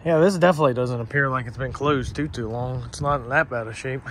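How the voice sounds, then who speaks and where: A man's voice: medium pitch, quick pace, a bit bright. A man giving a casual, off-the-cuff walkaround narration as a vlogger, talking to viewers in a folksy, conversational way while outdoors. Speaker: vlogger exploring abandoned places. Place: outdoors in a parking lot. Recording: outdoor handheld recording with clear traffic noise. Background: street and vehicle ambience.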